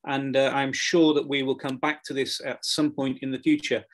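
A man moderating an online panel discussion over a video call, chatty and relaxed, with many fillers. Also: mid-range voice, normal speed.

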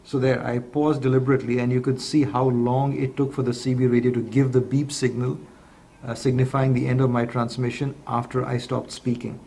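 A man with a mid-range voice walks through a product demonstration step by step. His delivery is measured and instructional. There is a faint background noise under his speech. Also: mid-range voice, level tone, vocal fry, mellow.

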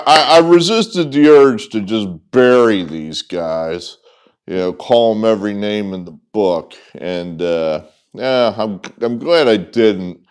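Slow-paced middle-aged man giving sports commentary. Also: on the low side, darkish tone, clear, resonant tone. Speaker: sports vlogger, host. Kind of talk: opinionated sports commentary, unscripted monologue. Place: indoors, small wood-walled barn room with whiteboards.

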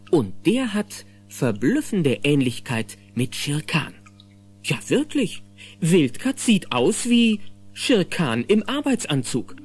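A man's voice: on the high side, slightly rough. A man narrating a children's audio drama in a dramatic, playful scripted storytelling style. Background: faint music.

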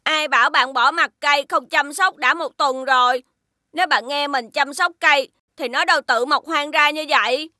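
A woman voicing a magical boy friend who lives in a spaceship, calm and sensible with a gently scolding manner, in a dubbed cartoon. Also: sits high, normal speed, dry recording. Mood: reproachful. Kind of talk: cartoon dubbing.

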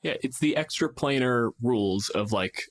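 A man's voice: medium pitch, expressive, moderate pace. A man co-hosting a casual tabletop gaming discussion, dramatizing his examples with acted asides. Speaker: podcast co-host and gamemaster.

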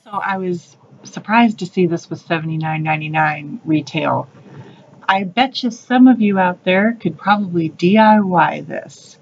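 A woman's voice: lowish voice, measured pace, darkish tone, lo-fi sound, soft background music. A woman narrating a casual shop-with-me video in a craft store, gushing and chatty about what she finds. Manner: gushing, chatty, calls things cute. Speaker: shopping vlogger. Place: craft store aisles, indoors.

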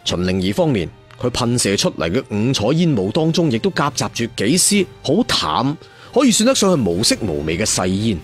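A man's voice: medium pitch, wildly swooping pitch, moderate pace. A man with a Cantonese accent narrating a martial arts story dramatically, with conversational asides. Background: faint music.

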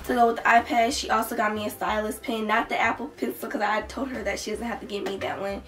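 A woman's voice: on the high side, steady tone, fast, fairly bright tone, soft background music. A young woman with a stuffy, fading voice chatting casually about her presents in her bedroom. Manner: chatty, rambling with many "like" fillers. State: congested, voice nearly gone. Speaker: vlogger. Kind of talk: casual haul vlog. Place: bedroom, indoors. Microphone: camera mic from a few feet away. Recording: voice a little far from the mic.

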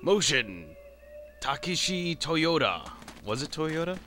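A man's voice: fairly high pitch, very animated, slow pace, sharp tone, faint music behind. A man hosting a let's play, rambling casually and self-deprecatingly as he comments on a video game.

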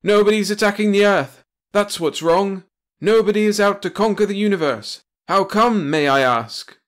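A man's voice: high-pitched, normal speed, pitched above the performer's own voice, lightly acted. A man voicing a blustering old space-fleet commander in a comic pulp sci-fi audiobook, declaiming pompously and emphatically. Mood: alarmed bluster.

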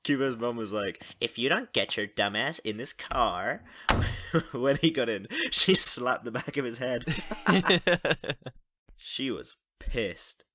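A man's voice: mid-range voice, expressive, takes it slowly, pushed and bright, slight background noise. A man acting an easygoing, chatty teenage schoolboy in a casual audio drama.

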